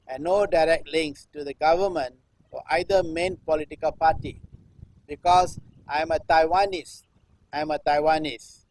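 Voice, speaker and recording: Guy in his 60s reciting like a performance piece, kinda high voice, dry sound, keeps repeating lines. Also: darkish tone, no room sound, rough recording. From Singapore. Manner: repetitive, chant-like refrains with deliberate phrasing. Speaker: performance artist. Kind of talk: live performance recitation of a political text.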